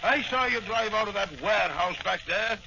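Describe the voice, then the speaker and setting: A man's voice: sits high, moderate pace. An actor playing a self-important, blustering police patrolman with an Irish accent in 1940s radio style. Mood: gloating. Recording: dull, noisy old radio recording.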